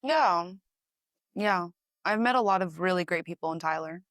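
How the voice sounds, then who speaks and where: A young woman from Texas, a student guest chatting in an interview, friendly and attentive with quick reactions. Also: on the low side, fairly bright tone, no room sound, clean studio sound. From Texas, USA. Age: young adult. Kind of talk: casual interview chat.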